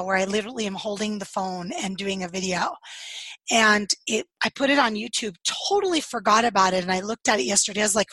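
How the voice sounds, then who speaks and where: Woman guest sharing her story, candid and earnest, kinda husky, dry close mic. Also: medium pitch, a bit bright, no room sound, rough recording. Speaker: podcast guest. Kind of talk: personal storytelling in conversation.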